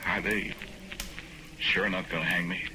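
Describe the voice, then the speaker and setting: Low-voiced condemned man, noisy recording. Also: fairly even intonation, a little slow, pushed and bright, heavily acted. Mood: pleading, agitated. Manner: folksy, hesitant, pleading. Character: condemned man awaiting hanging, anxiously protesting his innocence. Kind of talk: 1940s radio drama acting. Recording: noisy old 1940s radio recording.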